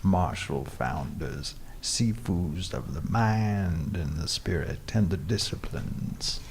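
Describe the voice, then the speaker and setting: Man playing a very deep gravelly radio preacher. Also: animated, slow, a little mellow, a little hiss or hum, exaggerated voice. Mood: grandly inviting. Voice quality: gravelly, husky. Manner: pompous, wordy, solemn. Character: grandiose philosophical male pirate-radio host, earnest hippie preacher type. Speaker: radio call-in host. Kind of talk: comic radio drama acting.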